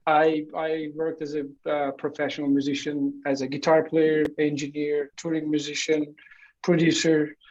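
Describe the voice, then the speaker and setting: A man's voice: a little high, completely flat delivery, moderate pace, darkish tone, dull audio. A man from Turkey in his forties, a guest answering interview questions in a loose, rambling way with lots of filler words. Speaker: guest, entrepreneur.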